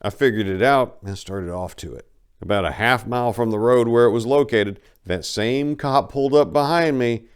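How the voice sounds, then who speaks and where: A man's voice: medium pitch, moderate pace, clean tone. A man reading a first-person story as dramatic audiobook narration, in a folksy, colloquial storytelling manner.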